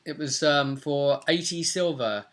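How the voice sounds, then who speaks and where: Bright-voiced young man recapping a game. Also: a little high, a little slow. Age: young adult. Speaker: game master and vidcast host. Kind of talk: casual recap of a tabletop roleplay session. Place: small room at home, indoors.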